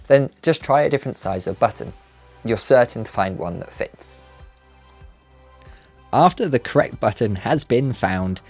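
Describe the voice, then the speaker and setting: A man's voice: on the low side, darkish tone. Man narrating a careful tutorial over music. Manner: careful, instructional, polite phrasing. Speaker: presenter of a DIY build tutorial. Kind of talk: scripted step-by-step tutorial narration.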